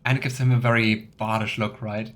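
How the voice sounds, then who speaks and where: A man's voice: lowish voice, level tone, fairly quick, a bit bright. A man giving casual let's play commentary in a chatty, playful way, with rambling asides. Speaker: let's player.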